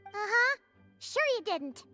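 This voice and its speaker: Squeaky, tiny childlike game companion. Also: female voice, big pitch swings, slow, bright, forceful tone, faint music behind, heavily acted. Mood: skeptical, grumbling. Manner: chirpy, blunt, informal. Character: small childlike floating fairy companion, chatty, cheeky. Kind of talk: video game voice acting.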